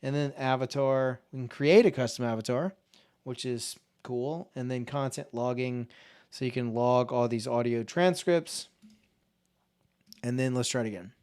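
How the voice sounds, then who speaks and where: A man explains a software tool in a loose, conversational tutorial. His voice is smooth and clear at a mid pitch. He talks informally and thinks aloud as he goes. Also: moderate pace. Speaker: tech tutorial host. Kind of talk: casual screen-share software walkthrough.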